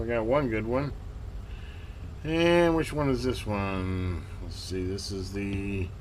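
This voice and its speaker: A man giving casual hands-on commentary as a hobbyist host, halting and muttering to himself as he works. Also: on the low side, slight background noise. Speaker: vintage radio hobbyist hosting a repair video.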